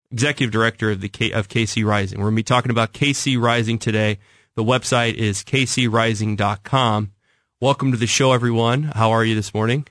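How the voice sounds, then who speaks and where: A man's voice: lowish voice, fairly bright tone, no echo, studio quality. An American man hosting an interview show in a conversational style, introducing guests and asking questions. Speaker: talk show host.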